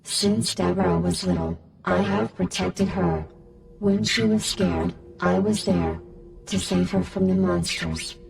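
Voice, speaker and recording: A woman voicing a solemn, cryptic guardian spirit king in a video game, with a creaky, gravelly voice and a grandiose manner. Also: lowish voice, steady tone, a little mellow, distant mic. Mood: solemn, weary. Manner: slow, grandiose, pronouncing. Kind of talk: scripted video game dialogue. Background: music.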